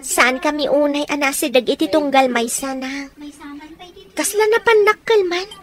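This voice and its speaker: A woman plays a young daughter in an Ilocano radio drama. She has a very high voice and an Ilocano accent, talking in a chatty, confiding way. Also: moderate pace, natural voice.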